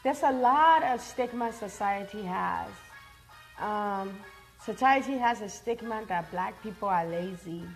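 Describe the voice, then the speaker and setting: A woman from Liberia speaks earnestly as a guest in a relaxed conversation. She leans on repetition to drive her points home, at a medium pitch. Faint music plays behind her. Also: unhurried, rough recording. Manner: earnest, repeats herself for emphasis. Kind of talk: casual chat.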